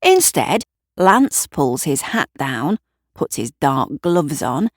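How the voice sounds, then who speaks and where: A woman's voice: low-pitched, very animated, slow pace, no echo, studio quality. A woman narrating a scripted story in a wry, descriptive manner. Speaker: writer and narrator.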